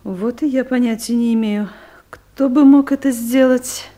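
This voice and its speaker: A woman's voice: on the high side, steady tone, a little slow, dark tone, close to an everyday voice. An actress playing a stern, easily offended middle-aged female director, curt and commanding, in a Soviet radio comedy. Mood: probing, accusing.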